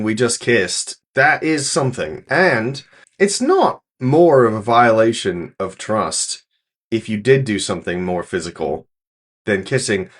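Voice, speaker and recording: A man giving casual reaction commentary, explaining his opinions firmly in long, conversational runs. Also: fairly low pitch.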